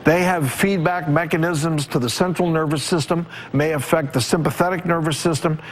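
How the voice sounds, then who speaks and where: A man's voice: on the high side, fairly even intonation, moderate pace. A man on a studio panel explaining medical points technically, with emphatic lists.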